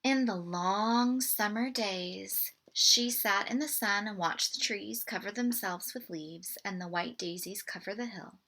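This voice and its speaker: A woman reading a picture book aloud to children in an expressive, deliberate way. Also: medium pitch. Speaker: storybook read-aloud presenter.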